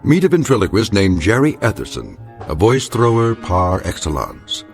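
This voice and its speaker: A very deep-voiced American narrator sets up eerie radio tales. He is grave and wry, with ornate phrasing and dramatic rises and falls over prominent music. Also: big pitch swings. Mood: wry, ominous. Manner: measured, ornate, ominous. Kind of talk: formal scripted suspense narration.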